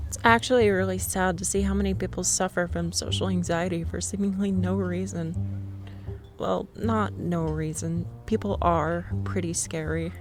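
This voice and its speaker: Young woman, ASMR roleplay, shy and nervous, soft-spoken, self-deprecating, lower voice, faint music behind. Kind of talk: scripted ASMR roleplay monologue.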